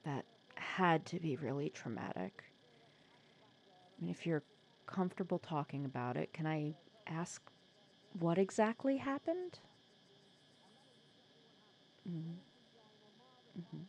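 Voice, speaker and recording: A woman playing a wry, protective supervillain nemesis who talks softly and teasingly in a comforting ASMR roleplay audio drama. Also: deep voice, lively intonation, slow pace, pauses often, full character voice. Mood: gentle, probing. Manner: soft-spoken, teasing, conversational with hesitations.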